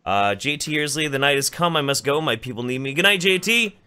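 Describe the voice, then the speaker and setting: A man's voice: on the high side, lively intonation, a little fast, pushed and bright, clear, resonant tone. A man hosting a podcast, reading donation messages aloud and joking sarcastically. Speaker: podcast host.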